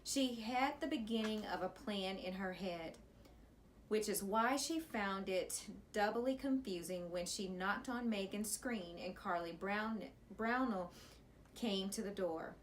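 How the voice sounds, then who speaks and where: Female storyteller for children's chapter book, expressive teacherly read, mid pitch, slight background noise. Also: measured pace. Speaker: elementary school teacher reading aloud.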